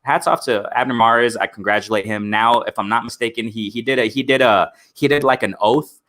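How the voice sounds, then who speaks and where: Fast-talking, bright-voiced male boxing streamer. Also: mid-range voice. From Mexico, raised in the United States. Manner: fast and rambling, with many restarts and "if I'm not mistaken". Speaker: host of a live boxing stream. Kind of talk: casual live-stream chat.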